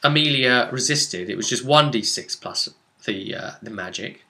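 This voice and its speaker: A man giving a casual, conversational recap of a role-playing game session as its game master, leaning on drawn-out words for emphasis. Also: mid-range voice, animated, bright, forceful tone.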